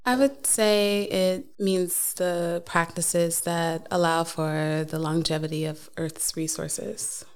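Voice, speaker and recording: A woman from the United States, a guest on a studio podcast answering questions thoughtfully, often laughing and pausing with uh. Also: fairly low pitch, fairly even intonation, smooth. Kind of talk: casual interview answers.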